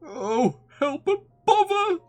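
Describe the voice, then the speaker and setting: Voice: medium pitch, big pitch swings, unhurried, faint music behind, voice pushed up. A performer voicing a naive, good-natured little bear in a storybook, bumbling and exclaiming as it talks. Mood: distressed.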